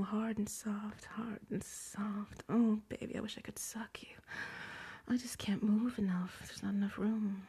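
A woman performing an intimate audio roleplay in hushed, breathless whispers, playful and teasing. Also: medium pitch, husky.

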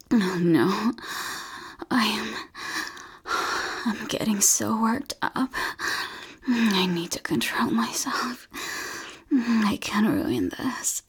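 A woman performing an adult audio roleplay, mostly whispering in a breathy, teasing way with frequent moans. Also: mid-range voice.

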